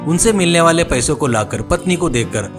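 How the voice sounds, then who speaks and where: A man's voice: a little high, expressive, brisk, over music. A man narrating a scripted moral cartoon story as its storyteller.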